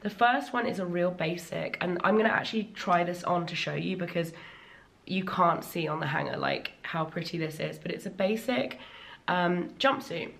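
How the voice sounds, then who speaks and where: A woman in her thirties chatting casually in a vlog from a bright living room, her voice still slightly worn after laryngitis, enthusiastic and full of ums. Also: lowish voice, normal speed, gravelly. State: recovering from laryngitis, voice a little worn. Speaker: fashion vlogger. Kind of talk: casual sponsored haul vlog.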